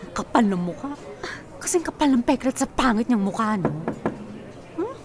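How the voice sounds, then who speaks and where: An actress playing a haughty, cruel young office worker in a Filipino radio drama, taunting with open contempt. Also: female voice, on the high side, expressive, moderate pace. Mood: contemptuous. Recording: music bed under dialogue.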